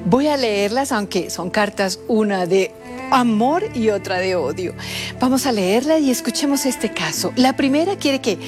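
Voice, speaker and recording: Gushing high-voiced lovelorn agony aunt, acted by a woman. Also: lively intonation, normal speed, close to an everyday voice. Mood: gushing, sympathetic. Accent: Colombian. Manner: gushing, theatrical. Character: syrupy female love-advice agony aunt, radio sketch. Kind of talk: comedy radio advice segment. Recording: music playing behind the voice. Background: music.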